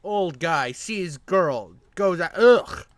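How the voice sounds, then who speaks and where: A man's voice: high-pitched, expressive, takes it slowly, slight background noise. A man giving casual reaction commentary in a sarcastic, exasperated, crude and slangy way. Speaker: reaction video host.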